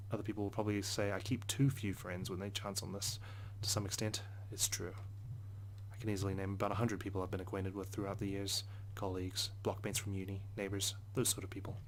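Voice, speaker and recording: A man's voice: lowish voice, a little hiss or hum, rough recording. A man reading visual novel narration aloud in an introspective, first-person manner. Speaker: let's play narrator.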